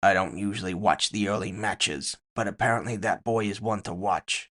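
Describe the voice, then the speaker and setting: A smug goblin gambler chats with a friend as a man acts him out. His voice sits low and he sounds knowing and matter-of-fact. It is casual dialogue from a cyberpunk audio story. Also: steady tone, normal speed, a bit bright.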